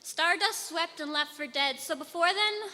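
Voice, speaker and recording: A teenage woman delivers slam poetry in a rhythmic, emphatic cadence, her voice high and very bright. The sound rings with the long reverb of a large hall. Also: moderate pace. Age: teens. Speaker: slam poet. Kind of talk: spoken word duet performance. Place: auditorium stage.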